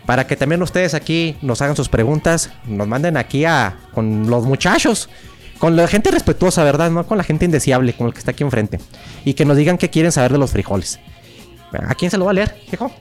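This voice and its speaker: A man's voice: a little high, expressive, brisk, soft background music, pitched below the performer's own voice, lightly acted. A man voicing a crude old fortune-teller woman with a Mexican accent, scolding and insulting the others in a mock-mystical way. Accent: Mexican Spanish. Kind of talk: improvised comedy sketch.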